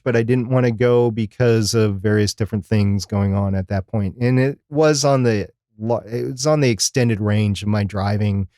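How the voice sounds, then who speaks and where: A man's voice: medium pitch, mellow, no room sound. Casual middle-aged man, dry close sound. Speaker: podcast co-host. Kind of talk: casual unscripted live chat.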